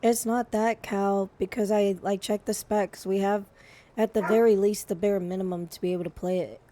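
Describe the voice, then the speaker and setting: A woman streaming live, chatting casually and gushing, her voice a little hoarse, with frequent restarts. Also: mid-range voice, fairly even intonation, moderate pace, warm tone, slight background noise. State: slightly hoarse.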